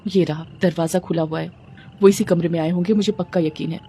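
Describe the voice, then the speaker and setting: A woman's voice: fairly low pitch, fairly even intonation, a little fast, warm tone, a little hiss or hum, lightly acted. A woman voicing a suspicious young wife, anxious but determined, in a storybook tale. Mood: anxious resolve.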